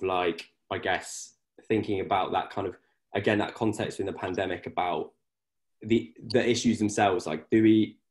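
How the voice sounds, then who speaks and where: A man's voice: low-pitched, darkish tone. A young man in his twenties moderating a panel over a video call from his living room, asking loose, hedging questions full of fillers.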